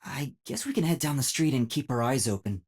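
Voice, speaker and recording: A man's voice: mid-range voice, animated, brisk, darkish tone, husky. A man voicing a timid, earnest young detective in an English video game, light and boyish, hesitantly thinking aloud. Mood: pensive, unsure.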